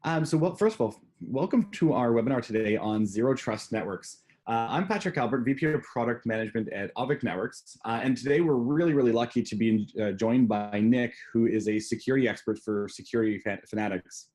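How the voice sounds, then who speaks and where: A man's voice: medium pitch, a little fast, a little mellow. A man hosting a business webinar, introducing a guest and covering housekeeping in a friendly, loose way with many fillers. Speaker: webinar host. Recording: remote webinar audio.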